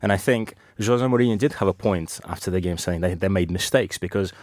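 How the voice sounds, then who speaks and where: Low-voiced, measured football pundit. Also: male voice, a little mellow, no echo, studio quality. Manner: measured, hesitant with fillers. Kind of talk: podcast analysis.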